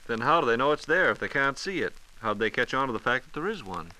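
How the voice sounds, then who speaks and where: A man's voice: mid-range voice, very animated, fast, bright, forceful tone, rough recording. An American man as an inquisitive, playful layman host in scripted 1940s radio dialogue. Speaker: radio host asking questions.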